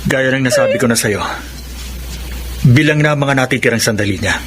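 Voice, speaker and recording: An actor playing a grave middle-aged Filipino doctor in a radio drama, speaking in a measured, serious way. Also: male voice, medium pitch, a bit bright, slight background noise, natural voice. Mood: somber. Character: grave older doctor uncle breaking bad news.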